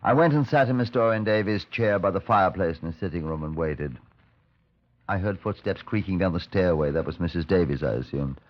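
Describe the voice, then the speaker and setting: A dull, vintage radio recording carries a police superintendent. His voice sits low as he tells and questions his way through a case in a matter-of-fact, inquisitive manner, in 1950s radio drama style. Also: animated, a little fast. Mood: reflective narration. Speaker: narrator and lead actor.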